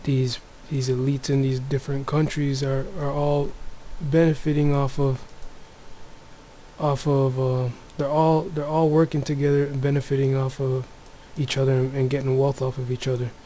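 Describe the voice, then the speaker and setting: Brief: low, mellow-voiced man with a Toronto accent, flat delivery, hushed home chat with faint background noise. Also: moderate pace, low-quality recording. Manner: speaks softly, many restarts and fillers. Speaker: religious video maker. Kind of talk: casual religious commentary, reading news aloud. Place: home room.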